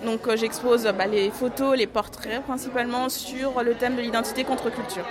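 A woman's voice: on the high side, steady tone, normal speed, crowd noise. A young woman in her twenties, an artist photographer, answering questions in a casual radio interview with many fillers and easy laughs.

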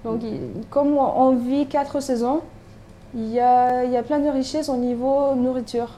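A woman from Turkey, a native Turkish speaker, talking in a casual interview. Her voice sits a little high and sounds mellow and dark. She hesitates a lot and restarts her sentences, with faint noise in the background. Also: steady tone, measured pace. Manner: hesitant, many fillers and restarts. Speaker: interviewee, doctoral student.

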